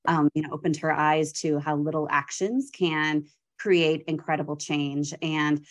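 A woman with a notably low voice speaks as a guest on a podcast, explaining her organization. She sounds enthusiastic and talks freely, often pausing on filler sounds. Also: flat delivery. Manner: warm, enthusiastic, frequent um fillers. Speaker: executive director, guest. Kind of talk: podcast interview.

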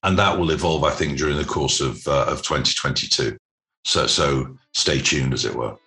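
A man speaking as an interview guest, explaining business matters in measured, corporate phrasing. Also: a bass voice, sharp tone. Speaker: podcast guest, private equity investor.

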